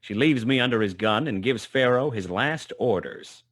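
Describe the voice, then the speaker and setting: An actor playing a wry, laconic private detective who narrates a noir radio play. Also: male voice, medium pitch, expressive, moderate pace, fairly bright tone. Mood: wry, tense narration. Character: hard-boiled male private detective narrating his own story.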